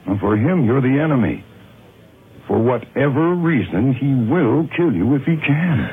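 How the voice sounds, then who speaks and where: An actor playing an earnest, protective police officer in a radio horror drama, halting and insistent, pleading gravely. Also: male voice, mid-range voice, very animated, full character voice. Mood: pleading, warning. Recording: lo-fi old radio broadcast with noise.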